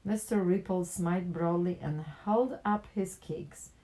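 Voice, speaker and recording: A woman reads a picture book aloud in an expressive storytelling style for children. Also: fairly low pitch, unhurried, rough recording. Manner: animated character dialogue with plain narration.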